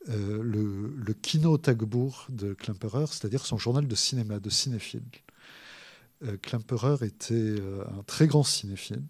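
Author guest, husky gravelly man, halting with lots of pauses, dry sound. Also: on the low side, darkish tone, no echo. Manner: hesitant, many filler pauses and restarts. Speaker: author discussing his book. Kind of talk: thoughtful spoken explanation.

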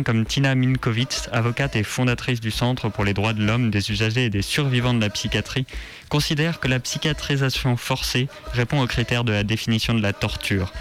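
A man's voice: medium pitch, fairly bright tone. A man with a French accent presenting as a contributor on a radio show. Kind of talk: scripted narration. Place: radio studio.

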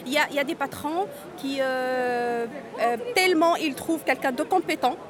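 A woman's voice: high voice, normal speed. A Tunisian woman in her forties, an interviewee at a street march, speaking earnestly and often repeating and restarting words. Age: 40s. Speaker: undocumented migrant interviewee. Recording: crowd noise outdoors. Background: crowd.

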